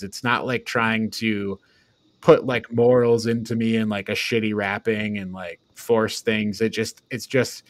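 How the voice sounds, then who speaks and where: A man's voice: on the low side, no room sound. A man hosting a podcast, chatting about films in an informal, playful way. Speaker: podcast host. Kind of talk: casual film review chat.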